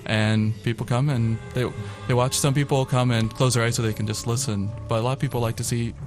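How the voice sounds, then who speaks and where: A man with a medium-pitched voice is a guest in a radio interview. He explains things in an informal, orderly way. Music is clearly audible behind him. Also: fairly even intonation, a little fast. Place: college radio studio.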